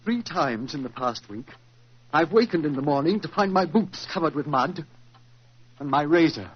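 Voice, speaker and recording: An actor playing a tormented, frightened stage performer in a 1940s radio drama, with an intense, halting, husky delivery. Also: male voice, on the high side, expressive. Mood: haunted. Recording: dull 1940s radio recording.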